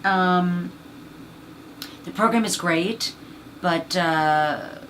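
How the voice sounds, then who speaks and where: A woman with a husky, low voice, chatting as an interview guest about her acting career, rambling and filling gaps with "you know.". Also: drawn-out words, fairly bright tone, slight background noise, poor audio. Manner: rambling, many fillers. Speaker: actress and voice actor guest. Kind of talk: casual interview chat.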